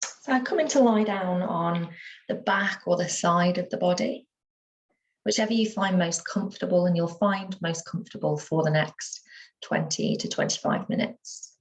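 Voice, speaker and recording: A woman teaching yoga nidra, guiding a relaxation meditation in a soothing, unhurried manner with gentle pauses. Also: on the low side, a little hiss or hum, poor audio.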